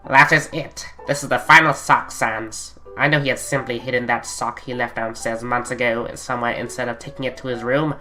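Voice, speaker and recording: A woman's voice: a bass voice, fairly even intonation, a little fast, hardly any pauses, sharp tone, soft background music. A woman playing a fussy, pompous male skeleton, declaiming in exasperation for a fan comic dub. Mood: exasperated.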